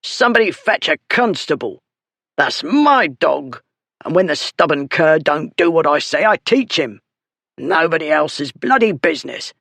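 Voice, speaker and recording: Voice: mid-range voice, expressive, unhurried, no echo, full character voice. A narrator doing a drunken blustering brute. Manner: loud, blustering, rough working-class speech. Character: drunken coarse male dog beater, blustering.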